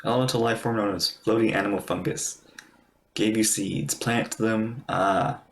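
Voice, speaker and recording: A woman's voice: extremely low pitch, fairly even intonation, normal speed, creaky, slight background noise. A woman giving casual livestream commentary on a game, musing aloud in an informal way. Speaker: streamer reacting to a game.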